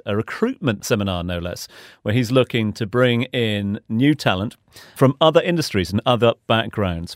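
A man's voice: on the low side, no echo, studio quality. A man hosting a business news podcast, introducing items in a conversational radio style. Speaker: podcast host.

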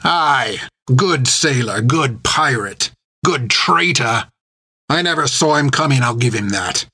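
A man's voice: medium pitch, animated, slow, sharp tone, husky, no echo, studio quality, heavily acted. A man voicing a cold, scornful male pirate captain with a sneering, mocking delivery.

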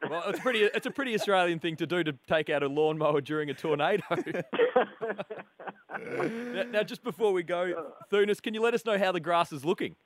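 Chuckling male radio host, slightly high. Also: animated, a little fast, slight background noise. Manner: chuckling, easygoing. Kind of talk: radio interview and banter. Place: radio studio.

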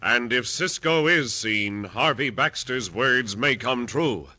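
Dramatic American radio announcer, very animated. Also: male voice, medium pitch, takes it slowly. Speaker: announcer and narrator. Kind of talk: dramatic scripted narration, old-time radio. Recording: vintage radio with faint music.

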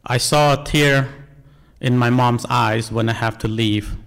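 Male, forties, Cambodian accent, medium pitch. Earnest, deliberate delivery of a prepared personal story in second-language English. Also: steady tone, a bit bright. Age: 40s. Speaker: National Guard member telling life story.

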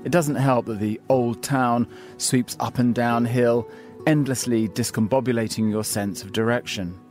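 A man reading his own scripted essay aloud in a measured, wry storytelling manner. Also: mid-range voice, fairly even intonation, a little mellow, soft background music. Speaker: columnist reading his piece. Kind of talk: scripted narration.

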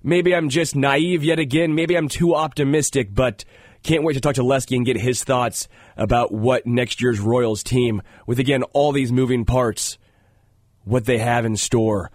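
A man's voice: medium pitch, fairly even intonation, moderate pace, no room sound, studio quality. A male sports radio host, congested, talking earnestly with a lot of fillers. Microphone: studio microphone.

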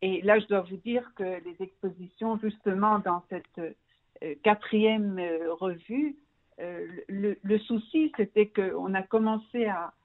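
A woman's voice: mid-range voice, slow. A middle-aged woman from Belgium being interviewed as a guest, searching for words and restarting her phrases. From Brussels, Belgium. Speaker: magazine founder, interview guest. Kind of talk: radio interview by phone. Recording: thin, narrow phone line.

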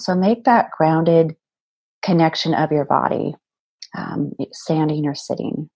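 Female meditation guide, low and very slow, soothing, deliberate pauses between phrases. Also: warm tone, slightly rough, dull audio.

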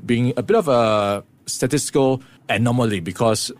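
A man presenting a morning business news briefing, explaining the week's events in a steady way with occasional fillers. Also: mid-range voice, moderate pace. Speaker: radio presenter.

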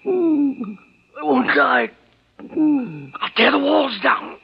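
A woman's voice: high voice, big pitch swings, extremely slow, creaky, slight background noise, exaggerated voice. An actress playing a frantic trapped woman gasping and crying for air in a 1940s radio horror drama. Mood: frantic, screaming. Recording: muffled vintage 1940s radio recording.

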